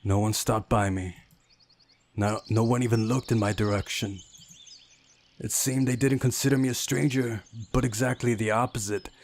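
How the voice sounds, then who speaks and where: A man's voice: lowish voice, fairly even intonation, moderate pace, darkish tone, a little hiss or hum. A man reading a visual novel aloud as a let's play host, with asides of his own.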